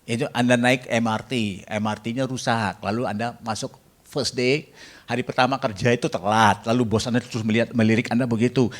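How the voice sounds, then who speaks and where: A man's voice: mid-range voice, steady tone, brisk. A man preaching a sermon in a jokey way, bantering with the congregation and mixing in English phrases. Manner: jokey, banters with the congregation and asks them to raise hands.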